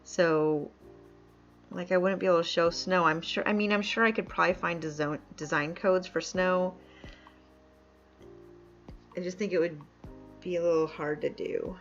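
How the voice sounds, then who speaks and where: A chatty woman streaming a game, talking loosely and laughing often. Her voice sits a little low and comes through a dull, lo-fi mic. Faint music plays under her. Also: fairly even intonation, moderate pace, long pauses. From upstate New York. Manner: chatty, laughs often, reads chat aloud. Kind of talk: casual live-stream chat while playing.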